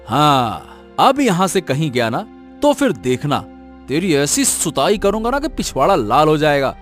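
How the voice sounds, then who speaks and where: Casting: man as hot-tempered rustic bully for a story cartoon, high swooping voice, music underneath. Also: very animated, measured pace, a bit bright. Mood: angry, threatening. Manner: blustering, scolding. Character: hot-tempered, bullying village donkey owner, cowardly when scared. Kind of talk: animated Hindi moral-story dramatization.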